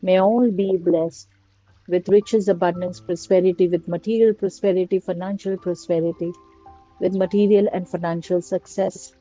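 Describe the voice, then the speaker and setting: A woman slowly guiding a prayer and meditation in a soft, repetitive litany of blessings. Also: lowish voice, fairly even intonation. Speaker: meditation guide. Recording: dull lo-fi recording with faint music. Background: faint music.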